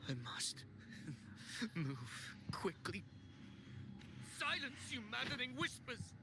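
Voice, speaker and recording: A man's voice: extremely high pitch, very animated, slow pace, full character voice. An actor voicing a tormented gladiator who fights off voices in his head, with strained, desperate delivery. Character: tormented male gladiator fighting inner whispers. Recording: game audio with music behind.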